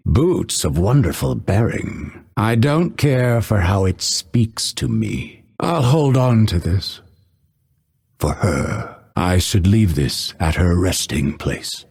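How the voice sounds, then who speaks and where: An actor voicing a grim, vengeful male assassin in a video game, husky and gravelly, with measured, solemn, dramatic delivery. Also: low voice, big pitch swings, a little mellow, full character voice. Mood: brooding, measured. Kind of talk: scripted game character voice lines.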